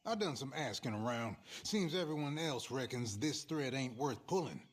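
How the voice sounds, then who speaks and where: An actor playing a shady, folksy frontier fixer in a video game, with an American accent and a knowing drawl. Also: male voice, mid-range voice, lively intonation, normal speed, natural voice. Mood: sly, ominous. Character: shady male frontier fixer, sly, folksy outlaw schemer. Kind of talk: video game cutscene acting.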